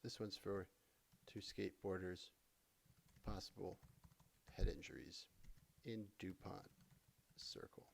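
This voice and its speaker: A man narrating a software tutorial in a casual, conversational way, explaining things step by step as an instructor. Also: low voice, little pitch movement, slow, gravelly, long pauses, slight background noise. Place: voice-over for a screen recording.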